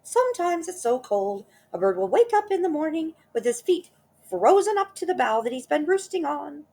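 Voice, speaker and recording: A woman's voice: high-pitched, big pitch swings, normal speed, dark tone, pitched above the performer's own voice. A woman reading a storybook aloud, voicing a chatty, kindly bird with a chirpy, sing-song way of talking.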